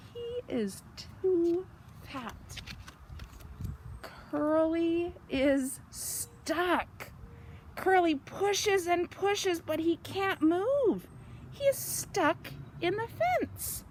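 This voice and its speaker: Female children's story reader, high and very melodic delivery, expressive and simple, with light background noise. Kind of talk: animated read-aloud of a children's picture book.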